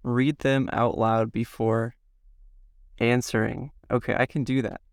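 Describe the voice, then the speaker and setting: Man, thirties, from the Midwest, slightly hoarse, gravelly yet smooth, halting self-interview. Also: mid-range voice, little pitch movement, no echo, studio quality. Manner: slow, halting, reflective. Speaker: podcast guest.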